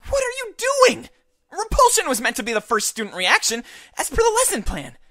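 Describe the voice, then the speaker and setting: A woman's voice: high voice, wildly swooping pitch, fairly quick, fairly bright tone, dry recording, clean studio sound, heavily acted. A woman voicing a pompous, demanding male leader of an exterminator squad, with formal, theatrical speech in a visual novel. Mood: exasperated.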